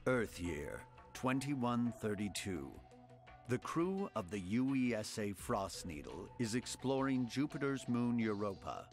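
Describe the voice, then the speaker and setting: An actor playing the grave, dramatic narrator of a heroic sci-fi game adventure, delivering a scripted intro. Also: male voice, mid-range voice, big pitch swings, measured pace. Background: music.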